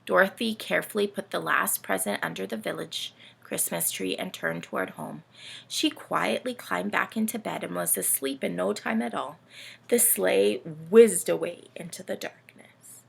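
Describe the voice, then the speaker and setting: A woman reading a story aloud to children in an animated, expressive way, inviting them in. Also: fairly low pitch, moderate pace, gravelly, slightly rough. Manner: animated, asks the young listeners questions.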